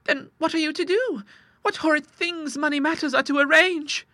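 A woman's voice: sits high, moderate pace, bright, forceful tone, no echo. A woman voicing an eager, tender-hearted young woman who pleads breathlessly, in a storybook reading.

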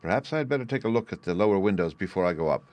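Casting: shrewd gentleman sleuth, voiced by a male reader, courteous, probing, authoritative, brisk manner, dry close recording. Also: deep voice, animated, no echo. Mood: brisk, assured.

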